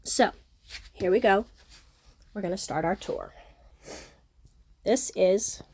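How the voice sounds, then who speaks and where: A middle-aged woman with a nasal, sniffly voice, chatting casually on her home vlog and filling pauses with ums. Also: mid-range voice, a little mellow, a little hiss or hum. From Texas Gulf Coast. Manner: frequent ums, self-deprecating, chatty. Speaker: vlogger, horticulturist. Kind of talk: casual talking-to-camera vlog. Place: outdoors at home, front porch.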